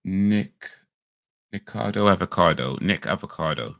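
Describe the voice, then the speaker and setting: A man talks casually on a livestream in a very deep, gravelly voice. He rambles and corrects himself with laid-back slang, his throat a little crackly. The sound is close and dry, with no room around it. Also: expressive, measured pace, no echo. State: slightly crackly voice. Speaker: livestream host. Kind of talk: casual chat.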